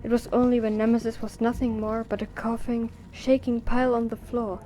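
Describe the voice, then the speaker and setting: Mellow woman narrating a dramatic story. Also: fairly high pitch, little pitch movement, brisk.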